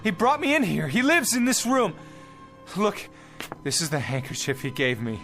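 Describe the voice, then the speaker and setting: An actor in a radio play voicing an agitated, desperate time traveler, urgent and pleading, faltering when dazed. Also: male voice, fairly high pitch, huge pitch swings, normal speed, fairly bright tone, full character voice. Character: desperate, agitated male time traveler trying to avert an assassination. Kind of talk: dramatic radio play acting. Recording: music bed behind the voice.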